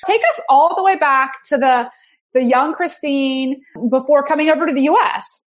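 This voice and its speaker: A podcast host with a slightly raised pitch. She reads through a guest introduction in an eager, chatty way, often restarting her phrases. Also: moderate pace.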